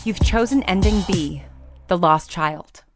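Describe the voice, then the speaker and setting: A woman's voice: fairly low pitch, unhurried. A woman announcing a program in a scripted introduction. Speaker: show announcer. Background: music.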